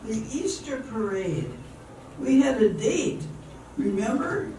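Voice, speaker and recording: Woman acting slow-spoken radio-drama sweetheart. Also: a little high, very animated, mellow. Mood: playfully bossy. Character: Hannah, lovelorn young woman turned playfully bossy sweetheart. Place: live amateur performance in a hall. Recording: roomy, distant stage mic with faint noise.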